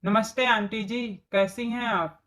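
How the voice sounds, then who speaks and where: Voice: mid-range voice, fairly even intonation, measured pace, fairly bright tone, natural voice. A voice actor playing a friendly, helpful young woman in a story cartoon, speaking politely. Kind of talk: Hindi moral story cartoon dialogue.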